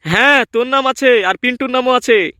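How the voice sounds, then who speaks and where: Man voicing cocky, high-pitched village boy. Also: moderate pace, bright, forceful tone, a little hiss or hum. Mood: bossy. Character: scheming, boastful village boy gang member in comedy cartoon. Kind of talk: comedy cartoon voice acting.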